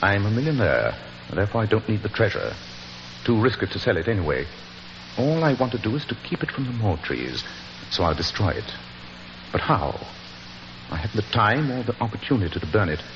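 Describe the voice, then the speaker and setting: An actor playing a precise, confident, commanding British gentleman detective in 1940s radio style. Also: lowish voice, big pitch swings, brisk, pauses often. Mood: intent, reasoning. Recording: muffled 1940s radio, reverberant, hissy.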